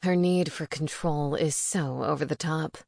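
Fussy, critical male vampire for a storybook, read by a woman: lower register, scoffing exasperation, dry recording. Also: lively intonation, fast talker, a little mellow, no echo, close to an everyday voice. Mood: exasperated.

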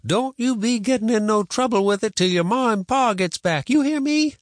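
Man voicing a cranky, high-pitched old frontier grandma. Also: normal speed, no room sound, clean studio sound, lightly acted. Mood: scolding. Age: older. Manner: grumbling, scolding, folksy rural speech.

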